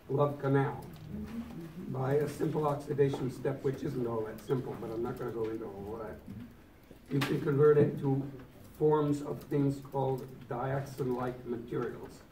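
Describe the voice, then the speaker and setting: An older man, a scientist, explaining informally to a meeting with wry, digressive asides. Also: mid-range voice. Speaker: scientist, panelist. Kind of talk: informal expert explanation to an audience. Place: indoor community meeting room. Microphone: distant camera in the audience. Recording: echoey room, distant mic, faint noise.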